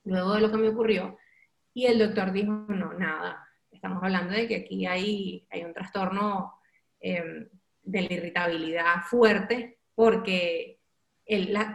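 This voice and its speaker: A woman with a Venezuelan accent speaks as a guest sharing her own story. Her delivery is candid, expressive and confiding, full of colloquial turns. Her pitch sits in the middle range for a woman, and the room adds a clear echo. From Valencia, Venezuela. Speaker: interview guest, radio and event host. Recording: roomy, echoing sound.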